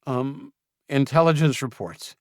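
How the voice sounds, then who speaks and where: A man's voice: on the high side, normal speed, no echo, clean studio sound, voice pushed up, natural voice. A man voicing a cornered young male traitor who argues defensively and pleads, growing agitated under questioning. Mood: defensive, pleading.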